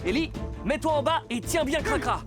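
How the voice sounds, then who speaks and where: Casting: heroic talking rescue car, reassuring team leader, high animated voice, loud music underneath. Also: moderate pace. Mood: reassuring, commanding. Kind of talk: French-dubbed children's cartoon.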